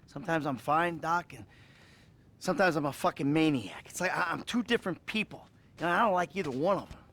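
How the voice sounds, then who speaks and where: An actor playing a troubled, foul-mouthed middle-aged American criminal in a video game cutscene, halting and wry. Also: male voice, a little high, expressive, fairly bright tone. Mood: confessional, anguished.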